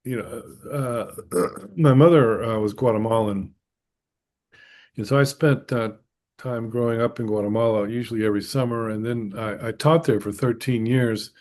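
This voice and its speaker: A middle-aged man from the United States talks as an interview guest. He answers thoughtfully in a conversational way, pausing with fillers as he works out his points. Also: mid-range voice, darkish tone. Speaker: guest scholar.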